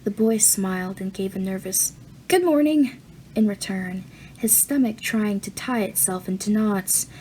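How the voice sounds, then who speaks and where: Woman audiobook reader, mellow and gentle, even storytelling. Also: mid-range voice, moderate pace, slight background noise, low-quality recording. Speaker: audiobook narrator. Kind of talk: reading fan fiction aloud.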